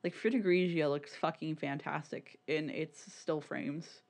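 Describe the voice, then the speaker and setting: A podcast co-host chatting casually about media in a rambling, halting, sweary way, often repeating words. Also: medium pitch, steady tone. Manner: informal, sweary.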